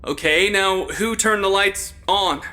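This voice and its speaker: A man plays a wisecracking sleuth in a comedy audio drama. His voice is high for a man and has a sharp edge. The delivery is casual, jittery and sarcastic. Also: a little slow. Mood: alarmed.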